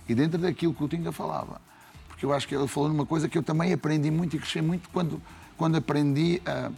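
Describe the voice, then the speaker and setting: Measured European Portuguese man. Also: mid-range voice, darkish tone. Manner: measured, deliberate explaining. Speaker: football coach, guest. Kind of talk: reflective interview answer.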